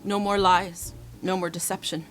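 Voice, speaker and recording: A woman's voice: on the low side, flat delivery, moderate pace, soft background music, natural voice, poor audio. An American woman playing a young, bitter and impassioned daughter in a radio drama, with a dramatic, intense delivery. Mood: bitter, confessional. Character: bitter, impassioned grown daughter with long-held anger.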